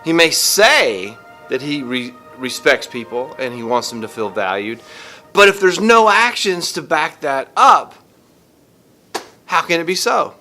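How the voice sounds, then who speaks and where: A middle-aged man talking casually and reflectively to camera, halting and earnest as he thinks out loud. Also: a little high, animated, faint music behind. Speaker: vlogger and teacher.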